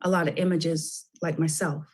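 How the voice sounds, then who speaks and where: A woman's voice: low voice, fairly even intonation, normal speed. Chatty woman author with lots of fillers. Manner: chatty, many fillers and restarts. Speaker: guest, children's book author. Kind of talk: radio interview.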